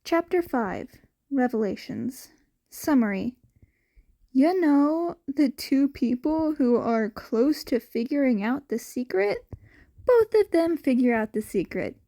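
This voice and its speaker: High-voiced woman reading fiction, unhurried. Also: lively intonation, dark tone. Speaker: podfic narrator. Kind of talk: scripted fiction narration.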